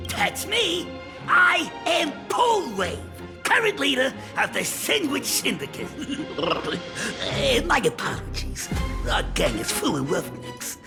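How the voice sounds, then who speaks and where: A boisterous lady gang boss from a video game. Her high, creaky voice swaggers along at a drawn-out pace, playful yet commanding. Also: very animated, over music, heavily acted. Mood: breezy command. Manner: loud, swaggering.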